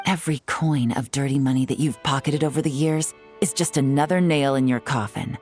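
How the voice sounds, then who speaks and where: Composed game detective, low pitch, wry, measured, quietly menacing, background music. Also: female voice. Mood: cold contempt. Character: cool, sardonic female detective, composed and menacing. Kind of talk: dramatic game voice acting.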